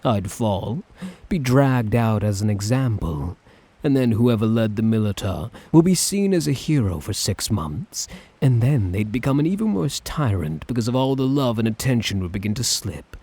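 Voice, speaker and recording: A man playing a smug, obsessive dictator in an ASMR roleplay, theatrical and drawling, with condescending, menacing affection and a husky voice. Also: medium pitch, big pitch swings, moderate pace, warm tone. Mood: cynical, lecturing. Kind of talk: ASMR roleplay, dramatic monologue to listener.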